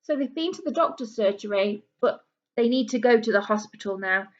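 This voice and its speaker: A woman with a fairly high voice reads a picture book to young children as a teacher would. She explains things in simple words and puts questions to her listeners. The recording is a little dull and lo-fi. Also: expressive, brisk. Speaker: primary school teacher. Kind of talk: read-aloud storybook lesson for young children. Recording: dull lo-fi small camera recording.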